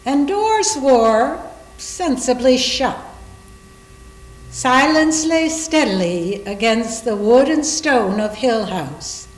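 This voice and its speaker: A woman reading literary narration aloud in a measured, deliberate way, as part of a readers theater. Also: a little high. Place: indoor room, live library reading. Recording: echoey room.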